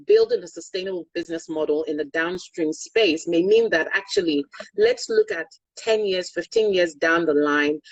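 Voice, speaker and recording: A woman's voice: on the low side, breathy edge, no room sound, rough recording. A middle-aged Nigerian woman, a Yoruba speaker, explaining energy business in an online interview in an articulate, pragmatic, emphatic way. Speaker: guest, energy consultant.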